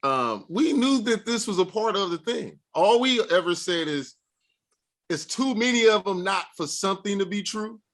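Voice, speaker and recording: A man's voice: high voice, lively intonation, normal speed, a bit bright. A man co-hosting a podcast in a playful, slangy casual chat. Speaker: podcast co-host.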